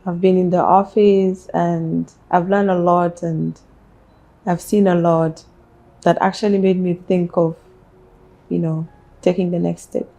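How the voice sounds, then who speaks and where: A woman with a South Sudanese accent answering interview questions about herself in measured, halting phrases. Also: lowish voice, little pitch movement, creaky, dark tone, lo-fi sound. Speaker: interview guest, businesswoman. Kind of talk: interview, personal life story.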